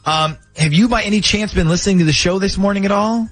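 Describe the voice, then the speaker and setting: A man's voice: sits high, fast, a bit bright. A man hosting a casual morning radio show, talking through a prank call.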